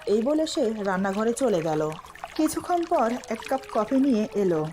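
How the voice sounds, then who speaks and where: A woman's voice: on the high side, very animated, moderate pace, noisy background. A woman reading a scripted romantic story as its narrator.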